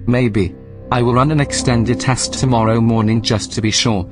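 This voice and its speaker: A man's voice: mid-range voice, fairly even intonation, music in the background, close to an everyday voice. Man acting as a coolly suspicious station AI. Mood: cool probing. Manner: formal, measured, probing. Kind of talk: audio drama acting.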